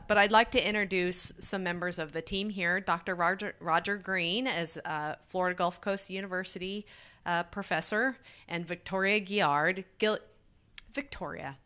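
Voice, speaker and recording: Female presenter, lowish smooth voice, loud and self-assured, light humor at a public meeting. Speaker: workshop presenter, former professor. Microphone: microphone.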